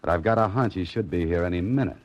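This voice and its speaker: An actor playing a quick-witted, cool troubleshooter in a 1940s radio drama, speaking in a wry, clipped, assured way. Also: male voice, low voice, a little hiss or hum, lightly acted. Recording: old radio recording, loud organ music.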